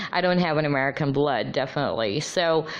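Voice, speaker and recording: Low-voiced woman, Tagalog native, chatty. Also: lively intonation, normal speed, dull audio. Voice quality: low voice often taken for a man's. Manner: chatty, many ums and restarts. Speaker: vlogger. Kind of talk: casual monologue.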